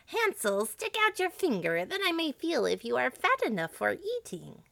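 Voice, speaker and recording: A wicked old storybook witch, played by a woman, sneering and bullying in a creaky voice. She draws out her menace and snaps scolding orders, recorded close and dry. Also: sits high, wildly swooping pitch, moderate pace, fairly bright tone, dry recording, pitched above the performer's own voice, full character voice. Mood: crafty.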